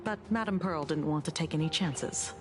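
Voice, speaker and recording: A businesslike, courteous female agent from a video game, voiced by a woman, pitched a little low. She is formal and matter-of-fact, with music clearly playing behind her. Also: normal speed, natural voice. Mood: courteous, calm. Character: polite, businesslike, level-headed adult female agent.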